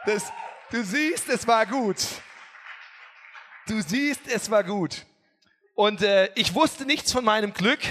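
A man preaching a sermon as pastor, telling stories with humor in a lively way. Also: high voice, slow pace, pauses often. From not from Bavaria or Austria. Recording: echoey hall, faint music.

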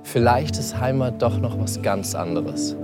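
A man, a parent, slowly reciting rhyming spoken-word poetry. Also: medium pitch, lively intonation. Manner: slow, rhyming delivery. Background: music.

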